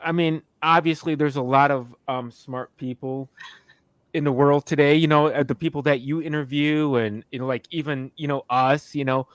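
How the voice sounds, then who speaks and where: A man rambles through a big question on a podcast, searching for words and swearing casually. His voice sits fairly high. The recording is dry. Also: steady tone, moderate pace, clear, resonant tone, no room sound.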